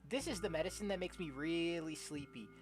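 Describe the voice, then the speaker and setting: A woman streamer doing a let's-play, reading visual novel text aloud with casual, slangy, expressive delivery. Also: on the low side, a little fast. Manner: expressive, often giggly, slangy. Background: game music.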